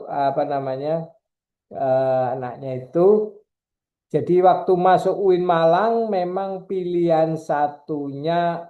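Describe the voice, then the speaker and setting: A man's voice: fairly high pitch, narrow, tinny audio. A middle-aged male university lecturer, giving a chatty, digressive classroom lecture and teasing his students.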